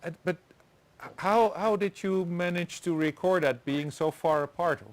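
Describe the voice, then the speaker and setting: Halting man hosting an interview. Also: sits high. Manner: halting, many restarts and chuckles. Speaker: host. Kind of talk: live online interview. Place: home set with doors open.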